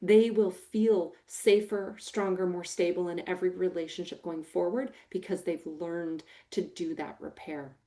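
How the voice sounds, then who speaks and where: A woman's voice: on the low side, unhurried, few pauses. A middle-aged American woman with a big, emphatic voice, giving a training talk to foster parents. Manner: expressive, emphatic, warm-hearted teaching with a big voice.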